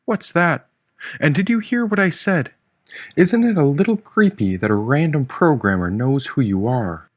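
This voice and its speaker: Guy doing a panicky disbelieving young worker in an audiobook, urgent questions, voice pushed up high. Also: animated, moderate pace. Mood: alarmed. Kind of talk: audiobook character acting.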